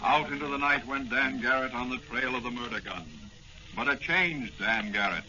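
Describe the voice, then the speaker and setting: A man's voice: mid-range voice, normal speed, dull audio. A man narrating a scripted 1940s radio drama in an urgent, dramatic manner. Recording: band-limited old-time radio with faint noise.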